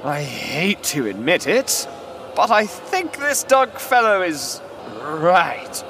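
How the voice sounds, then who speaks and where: An actor playing a grudging, exasperated arena villain in a comedic audio drama. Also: male voice, a little high, big pitch swings, slow, gravelly, noisy background, full character voice.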